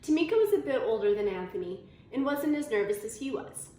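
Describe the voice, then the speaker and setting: A woman reading a children's story aloud in an expressive storytelling manner. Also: on the high side, a little fast. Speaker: storybook reader. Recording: very echoey room, faint noise.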